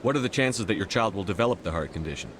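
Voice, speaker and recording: An actor playing a composed, guarded human military commander in a video game, with a measured, curt manner. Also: male voice, on the low side, lively intonation, fairly bright tone, a little hiss or hum. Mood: calm inquiry. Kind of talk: video game dialogue acting.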